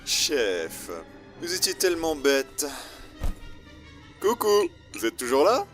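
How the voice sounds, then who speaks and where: Guy playing a crazy mocking villain in an audio drama, singsong n theatrical, voice jumps all over, kinda high. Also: big pitch swings, slow, a bit bright, faint music behind, exaggerated voice. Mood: mocking glee. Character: mad, taunting male villain, gleefully cruel traitor. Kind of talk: amateur audio drama acting.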